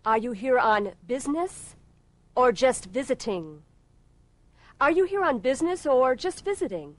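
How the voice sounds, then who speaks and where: A woman leads spoken English drills, saying each phrase slowly and with careful articulation. Her pitch sits a little high and swings widely to show the intonation. The sound is dry and close. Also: very animated, dry recording. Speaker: English teacher. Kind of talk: slow pronunciation drills.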